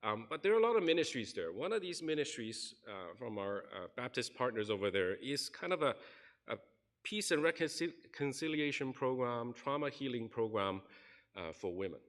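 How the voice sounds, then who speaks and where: A man's voice: mid-range voice, sing-song, normal speed, fairly bright tone. A man preaching a sermon in a church, earnestly telling a story and acting out the people in it. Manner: earnest, recounts stories and acts out quoted people. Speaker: pastor. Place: church sanctuary.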